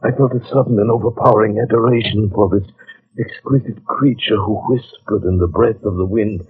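An actor playing a troubled gentleman narrator in a dramatic 1940s radio drama style. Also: lowish voice, steady tone, a little fast, husky. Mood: entranced. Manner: dramatic, hushed narration breaking into anguished pleading. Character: troubled gentleman narrator, haunted and torn by longing. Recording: dull 1940s radio recording.

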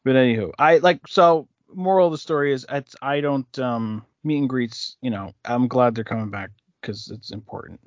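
A woman's voice: rumbling low, normal speed, few pauses, dry recording, lo-fi sound. A woman co-hosting a casual podcast, hesitant, often searching for words. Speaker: podcast co-host. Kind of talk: casual chat.

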